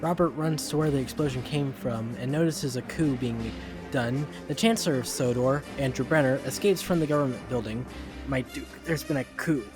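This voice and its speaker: A man's voice: mid-range voice, a little fast, music in the background. A man narrating a scripted children's adventure story as its storyteller.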